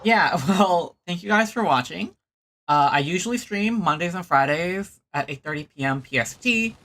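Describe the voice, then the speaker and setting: A game streamer giving casual livestream commentary, talking in a halting way that trails off. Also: medium pitch, animated, fairly bright tone. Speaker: streamer, host.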